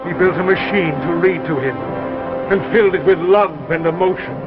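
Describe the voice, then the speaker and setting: High-voiced, lovestruck machine man, played by an actor. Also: normal speed, natural voice. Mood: pleading, lovelorn. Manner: declamatory, bookish, imperious. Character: lovestruck, menacing reading machine come alive as a man. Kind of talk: 1950s radio drama acting. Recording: dull vintage radio recording, loud music.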